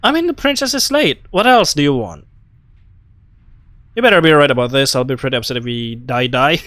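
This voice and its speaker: A man's voice: medium pitch, expressive, a little fast, a bit bright, dry recording. A man giving casual, playful game commentary, reacting in short murmured remarks on a close mic. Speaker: gaming video host.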